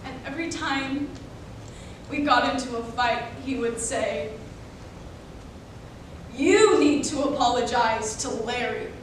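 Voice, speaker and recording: A young woman delivering a dramatic readers theater monologue, deliberate and emphatic, in broken phrases. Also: on the high side. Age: young adult. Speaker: college speech competitor. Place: indoor performance room, recorded from afar. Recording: very echoey room, distant mic, noisy.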